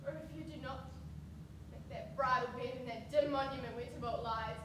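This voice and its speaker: A woman's voice: high voice, moderate pace, close to an everyday voice. A woman playing a distraught, defiant young noble daughter, impassioned in Shakespearean stage style. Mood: desperate, pleading. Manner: impassioned, declamatory. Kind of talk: theatrical Shakespearean stage acting. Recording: very echoey distant recording, clear noise.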